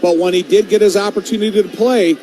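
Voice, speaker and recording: A man's voice: high-pitched, fairly even intonation, fast talker, dark tone, a little hiss or hum. An American man giving live analysis as color commentator on a football broadcast.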